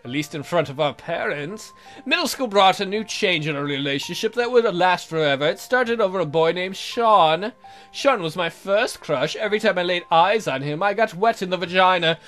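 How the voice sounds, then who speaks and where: Guy doing a funny lets play, reading a story out loud super theatrical, highish bright voice, bit of music under it. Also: expressive, normal speed. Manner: theatrical, jokey, exaggerated. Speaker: let's play host. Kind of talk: comedic read-aloud of a visual novel with joking asides.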